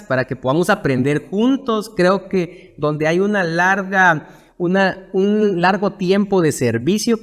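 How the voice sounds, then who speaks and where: A man from Guatemala, a pastor hosting a podcast interview, who talks warmly and formally as he welcomes and questions his guest. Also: fairly high pitch, big-room sound. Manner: warm and welcoming, formal in address.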